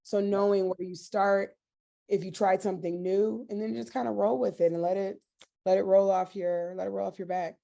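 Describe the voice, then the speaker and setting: A woman's voice: mid-range voice, fairly even intonation, moderate pace, vocal fry, warm tone. A woman on a group video call giving practical, matter-of-fact advice to other speakers. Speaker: participant on a training call.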